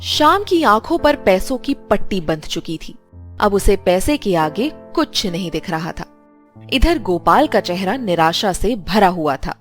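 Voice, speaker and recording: A woman's voice: mid-range voice, sing-song, measured pace. A woman reading scripted narration for a children's bedtime story. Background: music.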